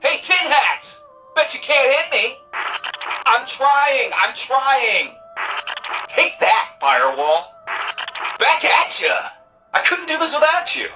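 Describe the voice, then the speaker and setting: An actor playing an excitable, cheeky young tech-whiz sidekick in a video game, with a slangy, playful manner. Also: high voice, slow pace, long pauses, music in the background, exaggerated voice, low-quality recording. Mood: fired up. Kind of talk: video game character dialogue.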